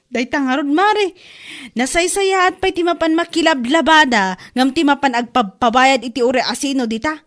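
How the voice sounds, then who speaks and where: Woman doing nosy village gossip lady for radio comedy, really high voice, scolding. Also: normal speed, natural voice. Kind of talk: Ilocano radio comedy drama acting.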